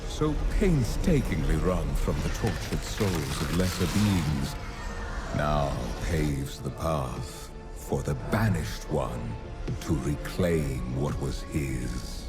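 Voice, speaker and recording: An actor playing a grandiose, sinister aristocratic lord in a video game, pompous, theatrical and deliberate, gloating. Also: male voice, extremely low pitch, lively intonation, full character voice. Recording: loud music over game audio. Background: loud music.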